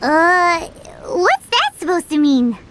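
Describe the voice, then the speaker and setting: A woman's voice: very high-pitched, huge pitch swings, slow, fairly bright tone, a little hiss or hum, heavily acted. A woman voicing a tiny, cheeky floating fairy companion in a video game, with a chirpy, childlike manner. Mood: indignant. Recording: game audio with music.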